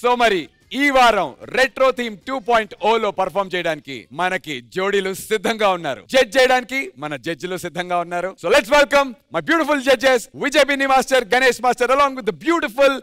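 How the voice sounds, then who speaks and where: A man's voice: extremely high pitch, lively intonation, fairly quick, clear, resonant tone, dry recording. A man with a Telugu accent presenting a stage dance show in an emphatic, showman-like way, announcing like a TV host. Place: TV studio stage. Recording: loud music behind the voice.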